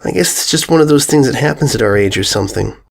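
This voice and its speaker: A man voicing a shy, anxious young schoolgirl pony in a storybook reading, stammering and hushed. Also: medium pitch, expressive, quick pace, gravelly, breathy edge. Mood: hesitant.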